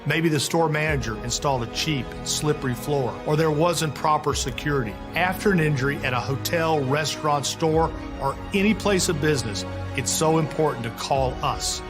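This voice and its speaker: A man reading a scripted commercial in a measured, deliberate delivery. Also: fairly high pitch. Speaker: ad announcer. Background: music.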